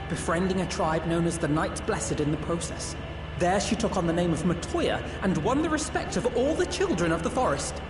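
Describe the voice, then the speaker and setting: A man voicing an articulate, genteel companion who formally recounts lore in a video game. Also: sits high. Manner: formal, storytelling. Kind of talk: video game cutscene narration. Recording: echoey, distant, music behind.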